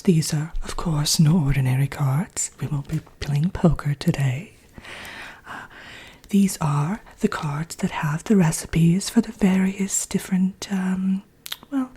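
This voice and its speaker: A haughty evil queen, acted by a woman, whispers through an ASMR roleplay. Her voice is low and extremely breathy, with a dark, soft tone. She instructs with deliberate, wry condescension, trailing into hesitant asides. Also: full character voice. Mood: haughty, instructive. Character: haughty, dry evil queen villainess teaching a workshop.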